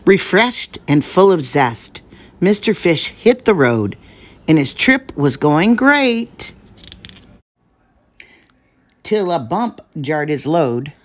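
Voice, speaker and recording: A woman reading a children's picture book aloud, giving the rhymes a measured, expressive delivery. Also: low-pitched. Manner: measured, expressive rhyming narration. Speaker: storyteller.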